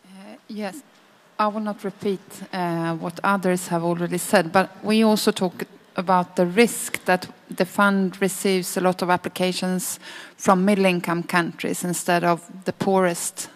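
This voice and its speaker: A woman with a low, very even voice reports back to a meeting. She is matter-of-fact, pausing hesitantly between phrases. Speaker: workshop group spokesperson. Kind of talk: informal spoken report to a meeting.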